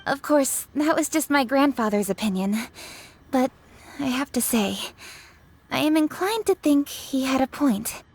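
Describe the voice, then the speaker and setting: A woman's voice: high-pitched, animated, a little slow, breathy edge, exaggerated voice. A woman voicing a dutiful, earnest young noblewoman official in a video game, with stiff, precise, formal diction. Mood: earnest, hesitant.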